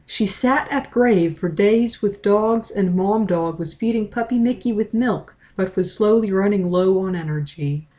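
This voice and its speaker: Casting: female amateur narrator, very unhurried delivery, mid-pitched, deadpan storytelling.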